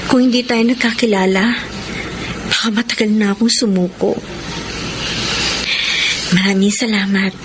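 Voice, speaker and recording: An actress playing a devoted wife of a gravely ill man, speaking in an earnest, pleading way thick with emotion, in a radio drama. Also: medium pitch, fairly even intonation, moderate pace, long pauses, noisy background, natural voice. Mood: tender. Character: devoted wife of a sick man, tender and tearful. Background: music.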